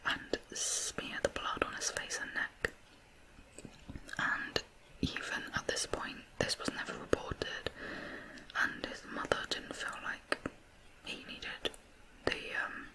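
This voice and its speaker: An ASMR host narrating true crime in a soft, unvoiced whisper, reflective and somewhat hesitant. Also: low-quality recording.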